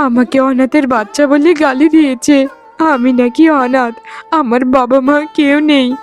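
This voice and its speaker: A woman's voice: high voice, lightly acted. A woman voicing a meek, wounded young orphaned wife in a cartoon, plaintive and tearful in a melodramatic style. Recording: music behind the voice. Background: music.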